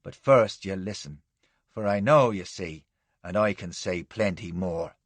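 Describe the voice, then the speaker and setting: A man voicing a sly, insolent Irish soldier with a soft, whiny brogue. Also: low-pitched, a little slow, dry recording, voice pushed down. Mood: defiant.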